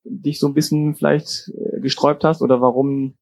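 A man's voice: mid-range voice, level tone, normal speed, creaky, a little mellow, dry recording. A man asking questions as an interviewer in a casual, conversational way.

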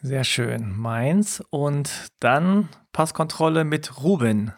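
A man hosting a podcast group chat in a playful, lively, joking way. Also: on the high side, slow pace. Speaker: podcast host.

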